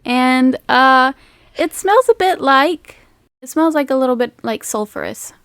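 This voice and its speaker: High-voiced woman running a tabletop game. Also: lively intonation, a little slow, fairly bright tone, dry recording. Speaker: game master. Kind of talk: improvised tabletop game narration.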